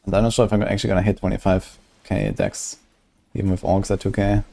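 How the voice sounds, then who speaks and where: Low-voiced man musing over a game. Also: sing-song, quick pace, vocal fry, mellow. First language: German. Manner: musing, calculating aloud. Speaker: streamer. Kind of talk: casual gameplay commentary.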